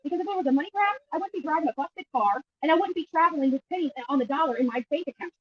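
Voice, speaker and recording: High-pitched, fast-talking indignant woman activist. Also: lively intonation, recorded from a distance, muffled recording. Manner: emphatic, indignant, repetitive phrasing. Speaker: YouTube livestreamer and missing-person activist being interviewed. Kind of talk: impromptu press interview. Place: at a county jail, press interview.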